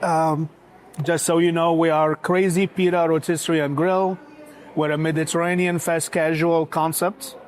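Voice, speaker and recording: Man, restaurant founder guest, fairly high voice, halting with lots of "uh"s. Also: little pitch movement. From Morocco. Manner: hesitant, many filler sounds. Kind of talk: casual interview.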